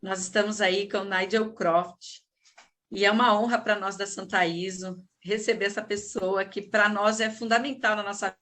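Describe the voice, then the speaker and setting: A woman's voice: medium pitch, fairly bright tone. A woman hosting an interview, effusive and admiring as she welcomes her guest. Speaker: host. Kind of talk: enthusiastic interview host.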